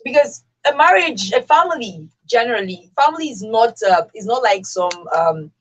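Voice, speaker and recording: A woman's voice: a little high, big pitch swings, moderate pace, rough recording. A Nigerian woman hosting a radio talk discussion, speaking emphatically and with strong opinions, repeating phrases to drive her points home. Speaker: radio show host.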